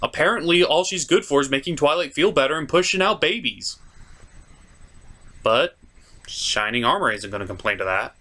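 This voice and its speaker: Male review host, very lively swooping intonation, theatrical and sarcastic, scripted comedic narration. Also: fairly high pitch, normal speed. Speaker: cartoon reviewer, show host.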